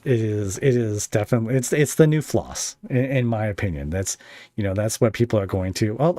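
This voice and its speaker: Low-voiced man hosting a casual podcast. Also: dark tone, dry recording. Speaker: podcast host and interviewer.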